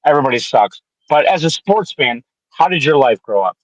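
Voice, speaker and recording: A man's voice: on the high side, lively intonation, fairly quick, no room sound, lo-fi sound. A man in his twenties from the Detroit area, chatting about sports as a podcast guest in a rambling, joking, profane way. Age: 20s. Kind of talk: casual sports chat.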